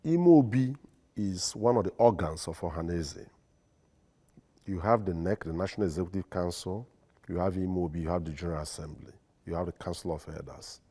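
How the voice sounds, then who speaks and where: A man with a Nigerian accent, a lawyer and guest on a studio interview, speaking in a formal, deliberate and emphatic way. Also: low voice, warm tone, husky. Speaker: guest, lawyer and secretary general.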